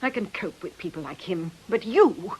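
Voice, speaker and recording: A woman's voice: mid-range voice, lively intonation, normal speed, lightly acted, rough recording. Woman acting menacing occult leader, scornful, imperious, very varied intonation, radio play, faint background hiss. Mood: cold anger. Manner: imperious, scornful, theatrical delivery. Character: bitter, menacing woman occult coven leader and fortune-teller.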